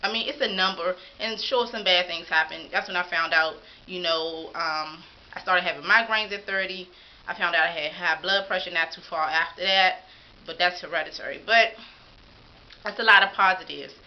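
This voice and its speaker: A woman's voice: on the low side, moderate pace, dull audio. A woman in her thirties vlogging to camera in a casual, upbeat and encouraging way. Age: 30s.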